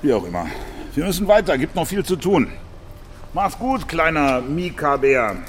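A man playing a gruff but teasing older homeless man, big and shaggy, in a children's radio play. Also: on the high side, very animated, moderate pace, soft background music. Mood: brusque.

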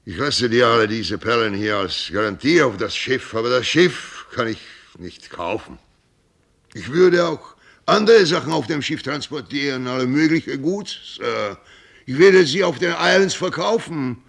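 An actor playing a gruff, insistent sea captain who haggles in mixed with English words, in a radio drama. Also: male voice, mid-range voice, expressive, unhurried, fairly bright tone, heavily acted. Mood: pleading, insistent. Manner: broken sentences peppered with English words, insistent.

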